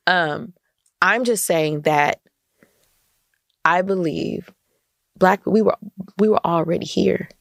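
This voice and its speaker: An American woman speaks casually and emphatically as an interview guest. Her voice sits fairly low with a clear fry in it. She repeats phrases for stress, and the sound is dry and close. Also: slow, pauses often, dry recording. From United States. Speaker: podcast guest, entrepreneur and community activist.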